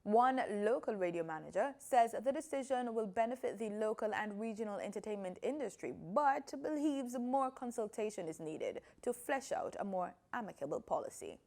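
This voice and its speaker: Female news anchor, Jamaican accent, fairly high voice, formal scripted delivery. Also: lively intonation, moderate pace, few pauses, a little mellow.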